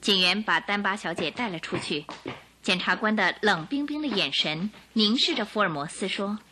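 A woman's voice: mid-range voice, expressive, normal speed, pushed and bright, slight background noise. A woman narrator reading scripted dramatic story passages for a radio drama.

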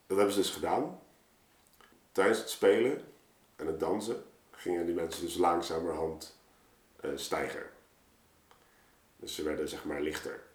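Deep-voiced man, roomy echo. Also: a little mellow. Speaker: guest artist and storyteller. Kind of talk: casual, reflective storytelling in an interview. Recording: roomy, echoing space.

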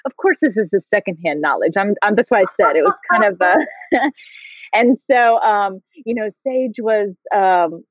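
A woman's voice: mid-range voice, lively intonation, unhurried, no echo, muffled recording. A woman hosting a casual interview, chatty and self-deprecating. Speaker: host.

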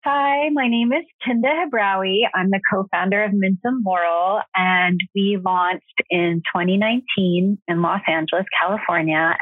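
A woman from Syria, a guest speaking in a relaxed, conversational way, with fillers and small self-corrections. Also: mid-range voice, slightly rough, no room sound. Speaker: guest, co-founder of a soap brand. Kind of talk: casual interview answers. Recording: phone-like remote call audio.

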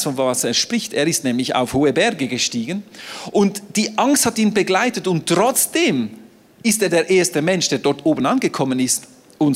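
Man, French native, preacher, lively sermon, long hall reverb. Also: sits high. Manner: animated, playful asides.

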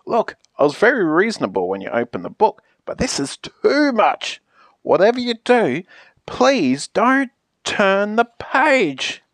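A woman voicing an exasperated, pleading character in a children's picture book, theatrical and emphatic with drawn-out pleas. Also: mid-range voice, sing-song, no echo. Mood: feigned calm, then threatening, bossy.

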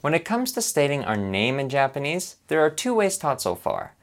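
Animated man narrating a language lesson. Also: fairly high pitch, moderate pace, background noise. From Canada. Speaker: language learner presenting a lesson.

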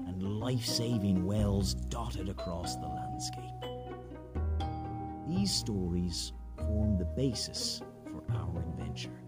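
A narrator reading a scripted podcast introduction in a measured storytelling manner. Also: low voice. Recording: loud music under the voice. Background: loud pizzicato instrumental music.

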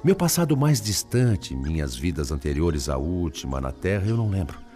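Troubled humble spirit, Brazilian accent, low register, melancholy, theatrical radio drama, faint music bed. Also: male voice, big pitch swings, normal speed, mellow. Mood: troubled, frustrated. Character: weary, troubled male spirit, humble and self-doubting.

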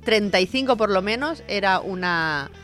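Lively woman chatting about films. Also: mid-range voice, moderate pace, faint music behind. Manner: animated, laughs often, rambling retellings. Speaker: podcast co-host. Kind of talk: casual film chat.

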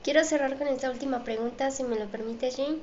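A woman's voice: high voice, a little fast, a little mellow. A woman hosting an interview show, reading out audience questions and presenting to viewers. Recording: dull, echoey room with faint noise.

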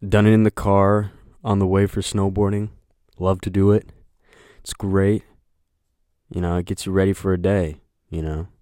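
A young man hosting a solo podcast, talking in a flat, monotone voice that rambles, with lots of hesitation and filler words. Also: sits low, moderate pace, dry recording, clean studio sound. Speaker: podcast host. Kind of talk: casual solo podcast chat.

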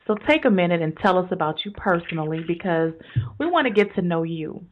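A woman hosting a business radio interview, with an energetic, promotional delivery. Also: on the low side, brisk, phone-quality sound, slight background noise. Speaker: podcast host.

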